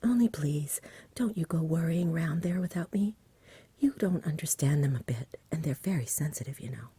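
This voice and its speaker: A self-assured country boy from a storybook voiced by a woman speaks in a very breathy whisper. He is polite and matter-of-fact, a little weary, with a soft mellow tone for ASMR reading. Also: low-pitched, expressive, normal speed, no echo, full character voice.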